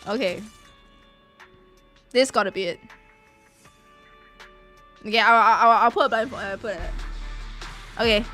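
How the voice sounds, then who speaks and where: A woman chatting casually on a live game stream, reacting excitably and repeating her phrases. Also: fairly high pitch, measured pace, pauses often, faint music behind.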